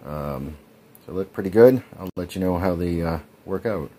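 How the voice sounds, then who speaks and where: A man's voice: deep voice, normal speed, dark tone, a little hiss or hum. A man in his forties casually narrating his bike build over clips, chatty with frequent ums. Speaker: cycling vlogger.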